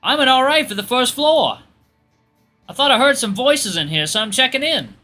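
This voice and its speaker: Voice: high voice, expressive, normal speed, pushed and bright, faint music behind, pitched above the performer's own voice. A performer voicing a proud, polite young male Australian resident assistant in a jaunty manner. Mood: brisk, cheerful.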